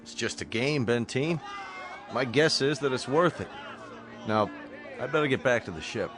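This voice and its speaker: An actor playing an easygoing, reasonable military colonel from a spaceship in a radio drama, with a measured, assured delivery. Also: male voice, mid-range voice, expressive, crowd noise, close to an everyday voice. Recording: radio drama with music underneath.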